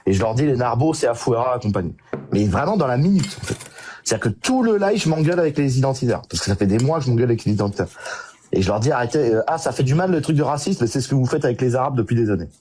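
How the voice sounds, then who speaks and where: A man in a heated online debate, combative and fast to cut in, defending himself and firing back at his opponent. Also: medium pitch, animated, dark tone, slight background noise. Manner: fast and combative, cuts in often. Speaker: debate participant.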